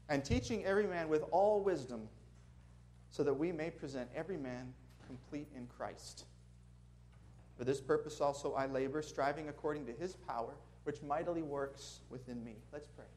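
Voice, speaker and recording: Friendly Minnesotan preacher, slightly high voice. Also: male voice, a little mellow. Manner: earnest, friendly, chuckling asides. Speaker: guest preacher. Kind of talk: sermon with prayer and storytelling. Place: church sanctuary before a congregation.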